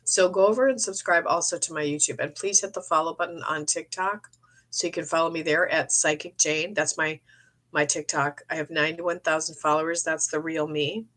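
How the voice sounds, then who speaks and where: A middle-aged woman with a cold, losing her voice, chatting in a meandering way on a live stream and often clearing her throat. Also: sits low, fairly even intonation, fairly quick, a bit bright. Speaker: psychic medium streaming live. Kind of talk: rambling live-stream chat. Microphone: phone or webcam for a live stream.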